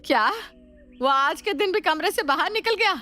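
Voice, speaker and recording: A woman's voice: very high-pitched, expressive, moderate pace, sharp tone, soft background music, full character voice. A woman playing an exasperated, coaxing middle-aged Indian mother-in-law in a family story, with dramatic, complaining delivery. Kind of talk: scripted Hindi family story dialogue.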